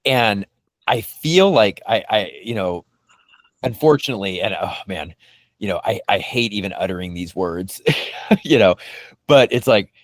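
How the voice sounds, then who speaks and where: A man's voice: on the low side, expressive, a little slow, no echo. A man hosting a podcast in casual fan discussion, talking in a hesitant, meandering way. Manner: hesitant, meandering, hedging delivery. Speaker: podcast host.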